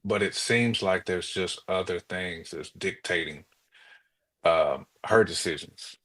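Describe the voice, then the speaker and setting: Deep-voiced man chatting on a podcast. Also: slow pace, bright, forceful tone. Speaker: podcast co-host. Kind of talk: casual conversational discussion.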